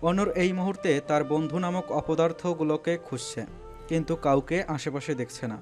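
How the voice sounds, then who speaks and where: A man's voice: fairly high pitch, normal speed, darkish tone, soft background music. A man narrating a scripted romantic story in a dramatic storytelling style.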